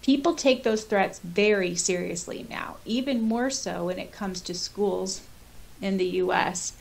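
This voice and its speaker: A woman in her thirties with an American accent, co-hosting a podcast in a casual, animated, chatty way. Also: medium pitch, unhurried. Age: 30s. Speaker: podcast co-host. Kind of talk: casual chat, reading out and reacting to listener comments. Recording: clear background noise with hiss.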